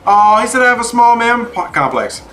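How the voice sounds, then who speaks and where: A man's voice: very high-pitched, sing-song, moderate pace, bright, forceful tone. A man hosting a game livestream, chatting casually and informally as he answers viewers by name.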